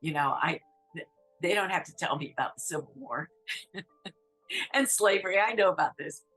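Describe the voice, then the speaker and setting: A woman photographer from New Hampshire speaking candidly, a little halting, about her experience. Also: on the low side, lively intonation, a bit bright, slightly rough, faint music behind, low-quality recording. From New Hampshire, USA. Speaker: interviewee, photographer. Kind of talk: reflective testimony.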